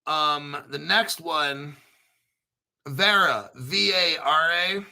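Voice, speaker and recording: Gravelly, bright-voiced blunt male host. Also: on the high side, very slow, low-quality recording. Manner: blunt, profane, rambling with restarts. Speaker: crypto channel host. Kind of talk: casual livestream chat.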